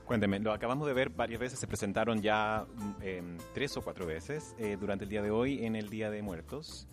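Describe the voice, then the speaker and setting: A man's voice: fairly low pitch, normal speed. A man working as a radio interviewer at a cultural event, asking polite questions with small restarts. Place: embassy cultural celebration. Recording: interview with loud music behind. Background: music playing.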